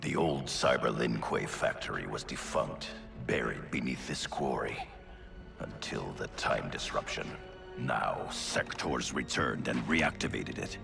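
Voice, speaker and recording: An actor playing a stern, commanding ninja clan grandmaster in a video game cutscene, speaking in a measured, authoritative way. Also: male voice, exaggerated voice. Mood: calm briefing. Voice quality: gravelly, very deep. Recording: loud game soundtrack music behind.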